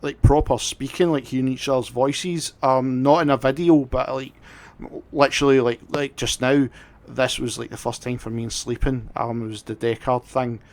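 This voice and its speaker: A man's voice: medium pitch, fairly even intonation, normal speed. A man hosting a casual livestream chat, tipsy from drinking, rambling along and swearing freely. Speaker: livestream host.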